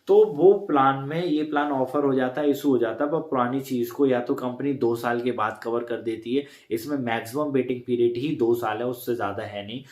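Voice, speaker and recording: A man's voice: medium pitch, darkish tone, roomy sound. A man casually explaining an insurance plan to his viewers, repeating points and correcting himself as he goes. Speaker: insurance advisor vlogger.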